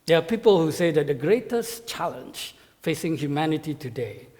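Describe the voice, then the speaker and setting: A man giving a conference talk to pastors as a guest speaker, with a measured, halting delivery full of fillers and repeated words. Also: on the high side, lively intonation, a little mellow. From Malaysia. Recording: reverberant hall sound.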